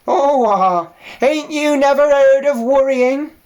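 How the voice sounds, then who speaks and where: A man voicing a mournful old female sheep with a slow, drawling dialect, in an audiobook. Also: very high voice, a little mellow, lo-fi sound, pitched above the performer's own voice. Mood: grumbling. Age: older. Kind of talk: audiobook character voice.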